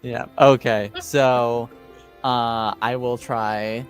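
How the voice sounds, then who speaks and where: A man with a medium-pitched voice guides a tabletop roleplaying game. His delivery is informal and halting, and faint music plays behind him. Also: steady tone. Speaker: game master. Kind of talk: casual narration of a tabletop game.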